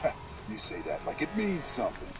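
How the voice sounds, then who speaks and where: A man's voice: on the high side, big pitch swings, a little fast, music in the background. A man playing a cocky masked fighter in a video game, with a casual, sardonic manner. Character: cocky, brash masked American street fighter.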